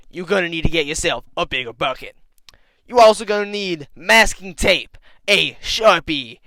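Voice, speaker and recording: Creaky-voiced man, folksy and theatrical. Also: sits high, bright, forceful tone, no echo. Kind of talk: comic instructional narration. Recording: noisy background hiss.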